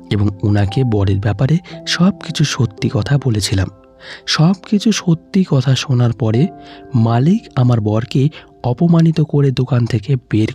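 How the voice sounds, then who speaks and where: A man's voice: mid-range voice, warm tone. A man narrating a scripted, emotional first-person audio story. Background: faint background music.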